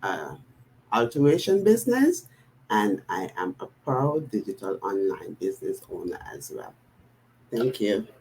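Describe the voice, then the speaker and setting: Lively low-voiced woman introducing herself. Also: measured pace, slight background noise, poor audio. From US Virgin Islands. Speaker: guest business partner. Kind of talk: self-introduction on a livestream. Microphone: video call.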